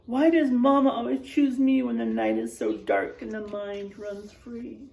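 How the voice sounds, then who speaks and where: A woman reading a picture book aloud to children, pausing to ask them questions. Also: on the high side, animated, creaky, dark tone, roomy sound. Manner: slow, asks children questions. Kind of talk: children's storybook read-aloud.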